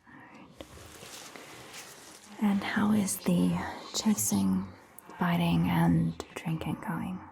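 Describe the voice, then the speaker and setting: A woman whispering softly and reassuringly in an ASMR roleplay as a calm, caring vampire doctor, close to the microphone. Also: lowish voice, drawn-out words, vocal fry, long pauses, slight background noise. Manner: soft whispering, gentle and reassuring.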